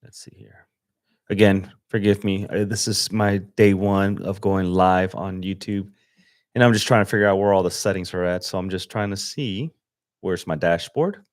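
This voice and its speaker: A young man from Los Angeles chatting casually on a livestream as he edits photos, relaxed and slangy, thinking aloud with fillers. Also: low-pitched, moderate pace, dry recording, clean studio sound. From Los Angeles, USA. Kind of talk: casual livestream chat while editing. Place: home desk setup.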